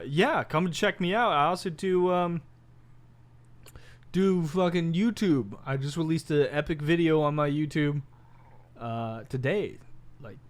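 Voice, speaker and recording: A man in his twenties, a guest on a casual podcast, talking in a laid-back way and swearing freely. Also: medium pitch. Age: 20s. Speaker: guest rapper and streamer.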